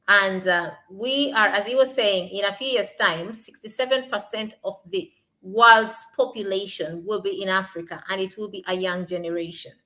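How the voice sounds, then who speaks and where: A young woman from Kenya with a Kenyan accent, speaking at a panel in an emphatic way that rises to forceful peaks on her key points. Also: medium pitch, normal speed. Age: young adult. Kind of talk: impassioned panel talk.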